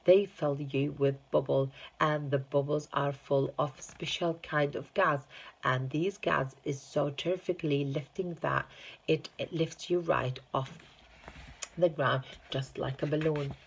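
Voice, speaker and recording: A woman acts out an eccentric male factory boss from a children's storybook. The voice is husky and creaky, jumping up in excitement. He boasts about his wares and briskly waves off any delay. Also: deep voice, exaggerated voice, rough recording. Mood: delighted, boastful. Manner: exclamatory, impatient, showing off.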